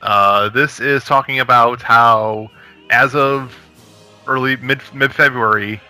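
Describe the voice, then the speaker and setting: A man in his thirties on a gaming podcast panel, talking fast and bluntly, swearing casually as he gives his opinions. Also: medium pitch, fairly even intonation, bright, forceful tone, soft background music. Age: 30s.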